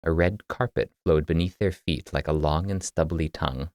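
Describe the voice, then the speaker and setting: A man's voice: rumbling low, normal speed, darkish tone, no room sound, clean studio sound. A man reading scripted fiction as an audiobook narrator.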